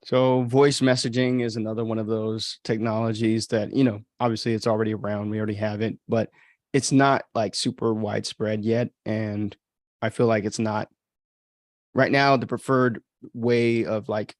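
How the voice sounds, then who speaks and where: A man's voice: fairly low pitch, little pitch movement, moderate pace, no room sound. A man chatting informally as a podcast host, rambling through his commentary with frequent filler words and some mild swearing. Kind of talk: casual chat, commentary.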